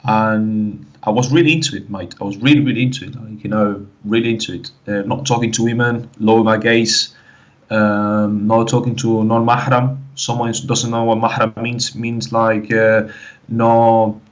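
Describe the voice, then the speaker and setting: A Spanish-accented man with a somewhat low voice talks as an interview guest. He speaks haltingly, restarting phrases and leaning on "like, you know". The audio is dull and lo-fi. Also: flat delivery. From Canary Islands, Spain. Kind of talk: casual interview talk.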